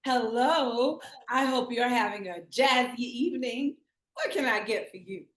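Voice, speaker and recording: A woman playing a warm, flirty Southern auntie working a donut shop drive-through in a live script read, sweet and hospitable. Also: on the high side, measured pace, reverberant, natural voice. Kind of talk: live screenplay table read.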